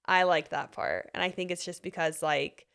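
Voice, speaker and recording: A woman's voice: on the low side, normal speed, vocal fry, clean tone. Chatty woman slightly losing her voice. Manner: relaxed, many "like" fillers, trailing off. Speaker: podcast guest, author. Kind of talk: casual interview chat.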